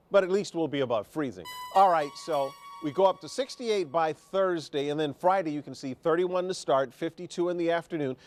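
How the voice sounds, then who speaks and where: A man giving a live morning weather forecast on TV in a chatty, informal way. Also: fairly high pitch. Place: TV studio.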